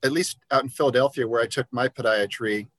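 Guy explaining stuff on a podcast, earnest, lots of ums and restarts, middle pitch. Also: fairly even intonation, fast talker, a bit bright, dry recording. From Liberia. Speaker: podiatrist guest. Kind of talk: conversational interview.

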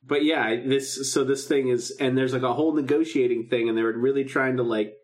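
Man, podcast co-host, mid-range pitch, casual chat, informal, enthusiastic. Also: fairly even intonation, fairly quick. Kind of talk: casual podcast chat about a radio drama.

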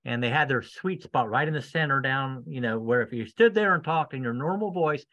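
Muffled male podcast host, medium pitch. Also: fairly quick, fairly bright tone. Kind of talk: conversational interview hosting.

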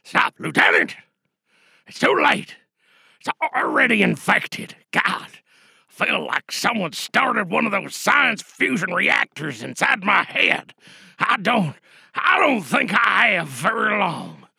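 A man playing a dying, infected space commander, gruff and desperate, barking strained orders with a rasping voice in a sci-fi audio drama monologue. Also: high-pitched, big pitch swings, slow pace, pushed and bright, no echo, studio quality, pitched above the performer's own voice, exaggerated voice. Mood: desperate, commanding. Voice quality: gravelly, rasping.

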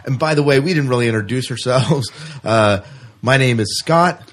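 A man's voice: mid-range voice, moderate pace, a bit bright. A man co-hosting a podcast in casual, chatty banter, with a jokey way of talking. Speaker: podcast co-host.

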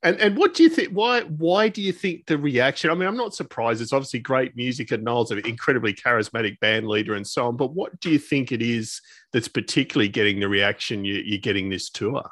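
A male podcast host talks in a chatty interview style, feeling his way through his phrasing. His voice sits at an average male pitch and is recorded dry, with very little room around it. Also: a little fast, few pauses, fairly bright tone, dry recording. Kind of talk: conversational interview.

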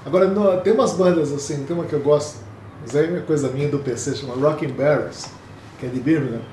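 A man co-hosting a podcast in casual music chat, rambling and relaxed, laughing often. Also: on the high side, fairly quick. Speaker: podcast co-host. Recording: echoey room with faint noise.

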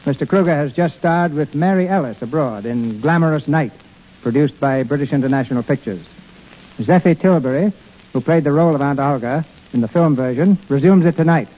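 A man's voice: on the high side, fairly quick. A man hosting a radio theater, reading formal scripted narration in a grand, theatrical 1930s announcing manner. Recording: old 1930s radio broadcast, narrow tinny band.

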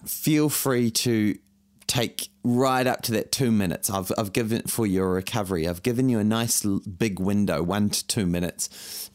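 A man with a scratchy, croaky throat from a cold, coaching runners through a workout in a relaxed, encouraging way, often repeating words. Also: on the low side. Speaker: running coach. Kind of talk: guided workout talk.

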